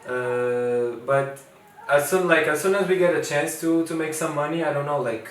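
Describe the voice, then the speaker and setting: A man's voice: fairly high pitch, moderate pace. A man in his twenties whose first language is Portuguese, an international student talking casually in an interview, hesitant with many fillers and restarts. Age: 20s. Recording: echoey room, distant mic, faint ambience.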